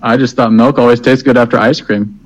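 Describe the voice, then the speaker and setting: A man's voice: mid-range voice, steady tone, fairly quick. An easygoing man chats on a podcast from home, chuckling now and then. The sound is a little muffled, with a faint hiss underneath. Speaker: podcast co-host. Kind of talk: casual chat. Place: home, by an open door.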